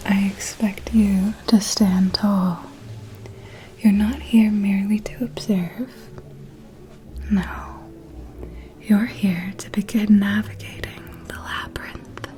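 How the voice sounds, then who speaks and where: A woman playing a refined, shrewd vampire noble who gossips in an elegant, deliberate manner in a whispered ASMR roleplay. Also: mid-range voice, fairly even intonation, close to an everyday voice. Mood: knowing, commanding. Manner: slow, deliberate, elegant, hushed insinuation.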